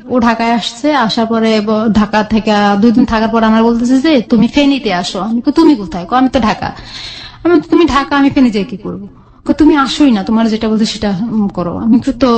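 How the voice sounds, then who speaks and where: A woman's voice: fairly high pitch, poor audio. A woman with a Bangladeshi accent telling her own life story conversationally as a radio guest, acting out remembered dialogue as she goes. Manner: animated retelling with quoted dialogue.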